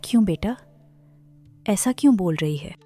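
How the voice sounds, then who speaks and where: A woman voicing a struggling village mother, speaking softly and gently over faint music. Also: medium pitch, lively intonation, a little slow. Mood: gentle.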